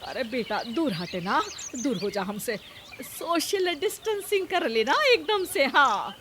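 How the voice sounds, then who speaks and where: A woman's voice: high-pitched, big pitch swings, measured pace. Woman acting a stubborn, scolding older mother-in-law, noisy recording. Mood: alarmed. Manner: brusque, scolding, rustic dialect turns. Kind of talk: acted children's cartoon story.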